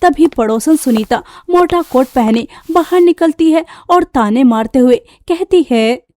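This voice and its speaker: Mellow, high-pitched woman storyteller. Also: animated, a little slow. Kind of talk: scripted Hindi cartoon story narration.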